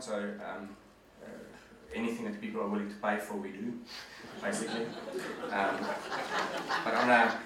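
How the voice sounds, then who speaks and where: A man's voice: on the low side, a bit bright, a little hiss or hum. A man in his forties gives an earnest, conversational presentation to an audience as a guest speaker. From South Africa. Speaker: guest speaker, management consultant. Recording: echoey hall from far off.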